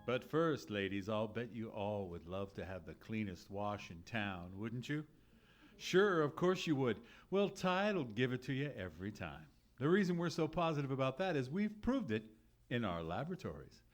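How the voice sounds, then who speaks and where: A man announcing an old-time radio show from a script, theatrical and emphatic. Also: medium pitch. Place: live theatre stage.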